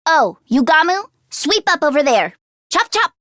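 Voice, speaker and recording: A woman voicing an earnest, anxious teenage girl mechanic in an anime-style video game, expressive and shifting between timid and sassy. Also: sits high, unhurried, dry recording, clean studio sound, heavily acted. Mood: bossy. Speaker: voice actress.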